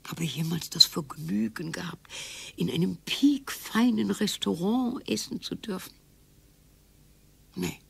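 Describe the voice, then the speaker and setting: An actress playing a tired, sharp-tongued wife in her sixties in a radio play, slow and husky, grumbling half under her breath. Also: medium pitch, exaggerated voice. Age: 60s. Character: weary long-married wife, sharp-tongued.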